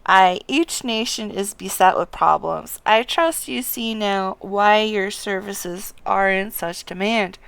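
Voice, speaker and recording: A woman reading out the lines of a dignified fantasy game leader in measured, formal archaic phrasing. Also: medium pitch, creaky, a bit bright, clean tone, no echo, poor audio. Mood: grave, encouraging.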